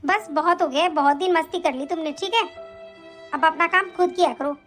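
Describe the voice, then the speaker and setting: A bossy middle-aged aunt who nags and scolds without pause, her high voice running quick and sharp, as a woman plays her. She keeps up a steady stream of complaint. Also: faint music behind. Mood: scolding. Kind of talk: comic family skit acting.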